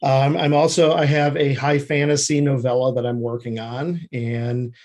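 A man's voice: mid-range voice, level tone, normal speed, a little mellow. A man speaking as an interview guest, explaining his writing work in a conversational way. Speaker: guest screenwriter and fiction writer.

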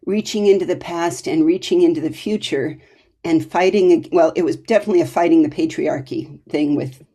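Woman podcast interviewer; low, dark-toned voice, analytical and emphatic in informal literary discussion. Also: fairly quick, slightly rough.

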